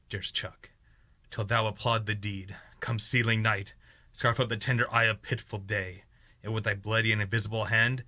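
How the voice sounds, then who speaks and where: A scheming, tormented king from Shakespearean radio drama, acted by a young man. The male voice is forceful and sharp-edged, a touch low, giving verse with dramatic intensity. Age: young adult. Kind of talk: Shakespearean radio play acting.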